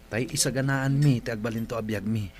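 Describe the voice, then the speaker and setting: A man's voice: mid-range voice, steady tone, fairly quick, a little mellow, lightly acted. A man acting the part of an earnest, loving young boyfriend in a radio drama.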